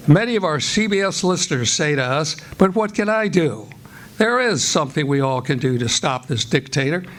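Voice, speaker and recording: An American man announcing in a formal, declamatory old-time radio style as host and director of a live theatre performance. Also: a little high. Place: live stage performance before an audience.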